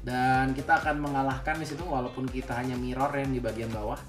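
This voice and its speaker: A man's voice: mid-range voice, level tone, quick pace. A young man giving casual, slangy gameplay commentary, explaining things as he goes and often addressing his viewers. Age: young adult. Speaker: gaming video creator and commentator. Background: music.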